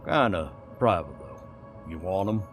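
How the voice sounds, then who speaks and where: A man's voice: low voice, animated, unhurried, faint music behind. A man voicing a laconic small-town sheriff in an audio story, terse, understated and matter-of-fact. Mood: hesitant, low-key. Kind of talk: dramatic audiobook character voice.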